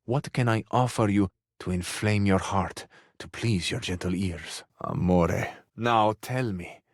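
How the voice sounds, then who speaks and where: A man's voice: on the low side, unhurried, no echo, studio quality. A man playing a gallant, lovestruck Italian romantic in a video game, speaking with an Italian accent in a flowery, poetic, courtly manner. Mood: yearning, adoring.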